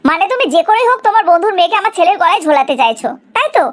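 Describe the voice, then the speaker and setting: Woman acting shrill-high, scolding cartoon mother. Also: sing-song, very fast. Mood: indignant. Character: indignant, suspicious middle-aged mother, bossy and protective. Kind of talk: Bengali cartoon family drama acting.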